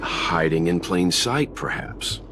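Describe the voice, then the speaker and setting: A measured, skeptical investigator from a video game. The voice is low yet expressive, calm in manner, with music clearly behind it. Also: male voice. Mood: thoughtful, doubting.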